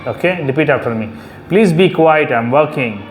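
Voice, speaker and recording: Low-voiced woman teaching grammar. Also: expressive, soft background music. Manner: slow, clear dictation of sentences. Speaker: English teacher. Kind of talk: repeat-after-me grammar drill.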